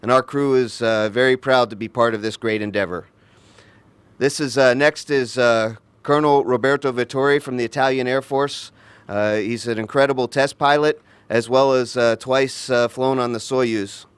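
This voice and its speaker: Smooth-voiced man making a crew statement. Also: medium pitch, steady tone, moderate pace, no echo. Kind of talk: formal press statement.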